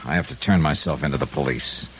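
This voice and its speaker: Low-voiced hard-boiled American detective. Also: male voice, quick pace, a little hiss or hum, rough recording. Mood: cool, hard. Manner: clipped, terse, world-weary. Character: tough, cool insurance investigator, male, hard-boiled. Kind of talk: 1950s detective radio drama acting with first-person narration. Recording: vintage radio drama recording.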